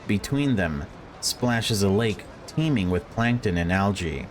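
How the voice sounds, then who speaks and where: Low-voiced man reading a game aloud. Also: lively intonation, a little slow, a little hiss or hum. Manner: wry, joking commentary between read passages. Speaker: video game let's player reading the game aloud. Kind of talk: expressive read-aloud narration with casual asides.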